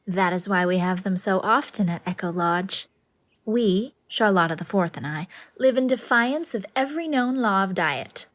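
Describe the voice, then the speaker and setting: A woman's voice: medium pitch, animated, moderate pace, close to an everyday voice. An actress playing a sweet, whimsical middle-aged lady in an audiobook drama, with effusive, playful, theatrical phrasing. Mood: playfully confiding. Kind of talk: scripted audiobook drama acting.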